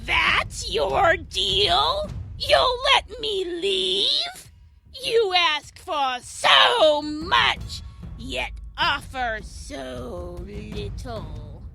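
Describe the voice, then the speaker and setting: A woman playing a malevolent, taunting hag demon in an audio drama, with menacing, mocking, drawn-out theatrical delivery. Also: extremely high pitch, lively intonation, gravelly, pushed and bright, soft background music, heavily acted. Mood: scornful.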